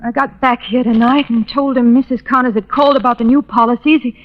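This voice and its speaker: A woman's voice: fairly high pitch, fairly even intonation, fast, lightly acted. An actress playing an anxious, defensive secretary in a 1950s radio drama, hesitant and earnest as she pleads. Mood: nervous, explaining. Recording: noisy, dull old radio recording, clicks.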